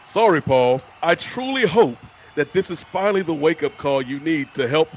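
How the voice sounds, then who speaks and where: A man's voice: a little high, animated, moderate pace, natural voice. A man voicing a theatrical, reproachful moralizer in a comic audio play, on a muffled recording. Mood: solemn, reproachful. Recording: muffled, with faint background noise.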